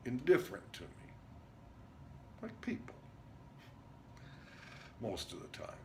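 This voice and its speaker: A man performing a dramatic stage monologue as an intense, rambling drifter, speaking in emphatic broken phrases with pauses. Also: lowish voice, pushed and bright. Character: Jerry, intense rambling drifter confiding a story. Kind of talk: theatrical dramatic monologue reading. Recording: noticeable background noise.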